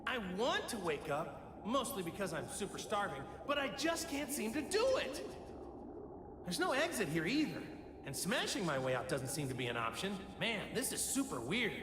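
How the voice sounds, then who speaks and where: A man's voice: high-pitched, sing-song, moderate pace. A man voicing a naive, easygoing martial-arts hero in an anime video game, folksy and bewildered, with a reverb effect. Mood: puzzled, laid-back. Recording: heavy echoing reverb, loud music behind.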